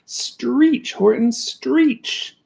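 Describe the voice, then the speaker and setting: A man's voice: very high voice, drawn-out words, creaky, darkish tone, full character voice. A man voicing a small, bossy and gleeful bug in a bedtime storybook reading. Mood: yelling. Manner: snapping, commanding, mocking. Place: child's bedroom at bedtime.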